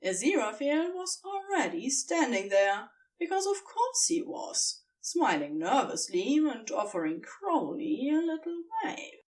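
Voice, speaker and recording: A woman narrating fan fiction like an audiobook, with deliberate, dramatic phrasing and emphatic pauses. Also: high voice, very animated, recorded from a distance.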